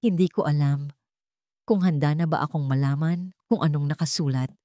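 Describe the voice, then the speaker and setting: A woman's voice: low voice, normal speed, warm tone, no room sound, studio quality, close to an everyday voice. A woman voicing a wounded elderly grandfather in an audio drama, troubled and uncertain. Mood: anxious, doubtful.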